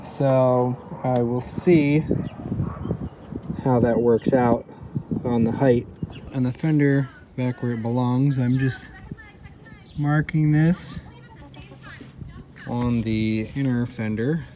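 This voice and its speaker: A man's voice: mid-range voice, fairly even intonation, takes it slowly, long pauses, background noise. A man giving a casual hands-on tutorial, thinking aloud informally as he works as a DIY repair host.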